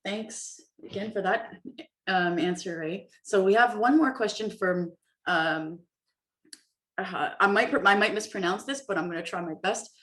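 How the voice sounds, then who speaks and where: A woman's voice: mid-range voice, a little slow, fairly bright tone. A woman from Canada moderating a Q&A and reading out audience questions, friendly and a little self-conscious.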